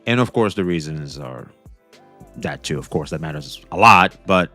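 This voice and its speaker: A young man hosting a casual reaction stream, talking back to a video with excited, repetitive commentary. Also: extremely low pitch, moderate pace, faint music behind. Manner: excitable, talks back to the video, repeats himself.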